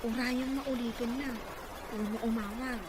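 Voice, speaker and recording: A woman's voice: a little high, fairly even intonation, moderate pace, lightly acted. A woman with a Filipino accent playing a cautious aunt in an Ilocano radio drama. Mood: wary. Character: cautious female guardian aunt. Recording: loud ambient noise.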